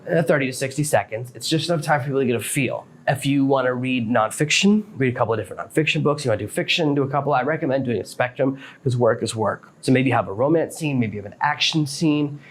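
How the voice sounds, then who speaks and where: A man's voice: mid-range voice, fast talker, hardly any pauses, slight background noise. A man hosting a podcast, explaining things step by step in a casual, matter-of-fact advice monologue. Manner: practical, matter-of-fact, self-employed author explaining step by step. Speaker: podcast host.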